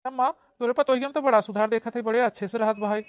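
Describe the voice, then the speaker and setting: An emphatic Awadhi-accented village husband from a cartoon comedy , voiced by a woman. He speaks fast and repeats his points. The recording is close and dry, slightly dulled. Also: fairly high pitch, steady tone, smooth, no room sound, lo-fi sound, natural voice. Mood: curious, probing. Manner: emphatic, repetitive. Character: village husband and son, astonished, questioning.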